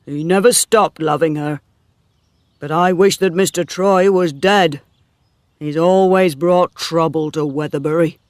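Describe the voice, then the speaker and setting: A man's voice: fairly high pitch, fairly even intonation, unhurried, no echo, studio quality, natural voice. A man reading as a blunt village farmhand. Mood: grumbling. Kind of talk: audiobook dialogue reading.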